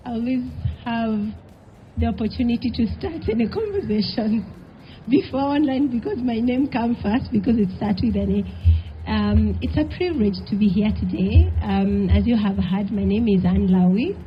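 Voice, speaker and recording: Panelist, woman, higher voice, friendly; lo-fi recording. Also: normal speed, faint music behind. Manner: joking, many "um" fillers. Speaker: panelist, managing director. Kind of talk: panel introduction. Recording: dull lo-fi event audio.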